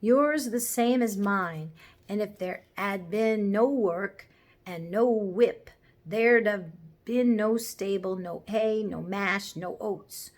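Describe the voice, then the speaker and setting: A woman's voice: medium pitch, slow pace, natural voice. A woman voicing a good-natured, earnest London cabby with a Cockney accent in a storybook reading.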